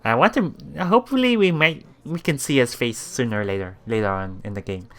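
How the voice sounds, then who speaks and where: A man doing a let's play, reading visual novel text aloud haltingly, with stumbles, self-corrections and casual asides. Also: medium pitch, crowd noise.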